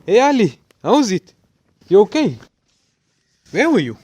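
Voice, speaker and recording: Earnest young male student, fairly high voice, caring, radio drama. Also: big pitch swings, unhurried, a little mellow, breathy edge, exaggerated voice. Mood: concerned. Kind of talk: scripted audio drama acting.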